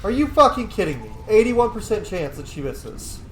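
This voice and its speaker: A man giving casual, excitable game commentary with plenty of swearing. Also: high-pitched, normal speed, slight background noise. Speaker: gaming video creator. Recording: roomy echo, game music behind. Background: game music.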